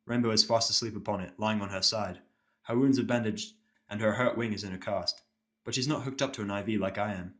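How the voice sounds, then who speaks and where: A man's voice: fairly low pitch, fairly even intonation, fairly quick, fairly bright tone. A man narrating a scripted first-person story as an audio drama reader.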